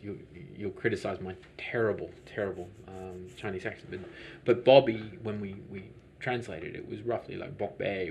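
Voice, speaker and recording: A man with a low-pitched voice answers interview questions as a business owner. He talks in a rambling, hesitant way, often restarting sentences. A faint hum of ambience sits behind him. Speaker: lavender farm business owner.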